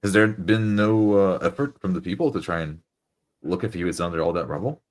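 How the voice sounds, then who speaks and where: A man playing a tabletop roleplaying game, improvising in character with deliberate, formal phrasing. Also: deep voice.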